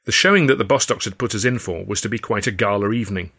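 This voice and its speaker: Bright-voiced male story narrator. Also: lowish voice, quick pace, slightly rough. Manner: expressive storytelling. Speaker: author and audiobook narrator. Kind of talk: scripted first-person story narration.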